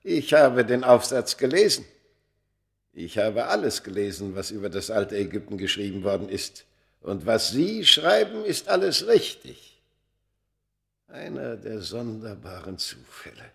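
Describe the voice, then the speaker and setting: An actor playing a tormented, immortal Egyptian under a curse in a German radio play, solemn and theatrical, moving from hushed words to fierce outbursts. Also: male voice, lowish voice, sing-song, measured pace, creaky, exaggerated voice. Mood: stern, imperious. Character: tormented, cursed ancient Egyptian man, four thousand years old.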